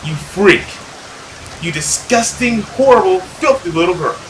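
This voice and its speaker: A man's voice: sits high, expressive, measured pace, slight background noise, rough recording. A man voicing a cruel, possessive mother who scolds and coos at her daughter in a visual novel. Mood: scornful.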